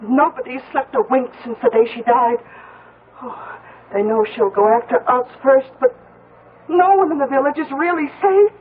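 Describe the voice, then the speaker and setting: An actress playing a grim, superstitious village woman in a radio drama, husky and urgently insistent. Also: high-pitched, normal speed. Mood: urgent, pleading. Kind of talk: 1970s radio drama acting. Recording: tinny narrowband old radio recording.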